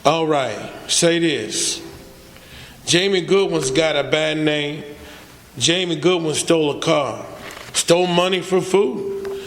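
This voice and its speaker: An actor playing a despairing, resigned young male prisoner with rough, uneducated speech in a radio drama. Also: a little high, slow, a bit bright. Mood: wary, earnest. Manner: plain uneducated speech with double negatives. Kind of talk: old-time radio drama acting. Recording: echoey hall stage recording.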